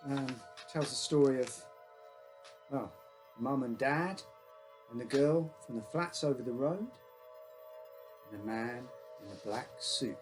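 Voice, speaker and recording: A man's voice: medium pitch, unhurried, pauses often, soft background music, poor audio. A man reading his own short story aloud as a first-person narrator, in simple childlike phrasing.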